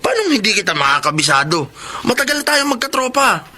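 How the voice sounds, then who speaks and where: Casting: actor as rough slum guy, high forceful male voice, radio drama, faint noise. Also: big pitch swings, a little fast, heavily acted. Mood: sly, chummy. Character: rough male slum tough, hot-headed street dealer.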